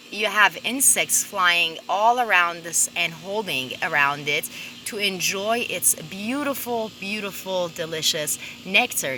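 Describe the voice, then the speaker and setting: Upbeat plant vlogger lady, bright voice, chatting outside w a bit of background noise. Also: medium pitch, rough recording. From Persia. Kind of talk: informal gardening vlog presentation. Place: outdoors in a sunny garden by a fence.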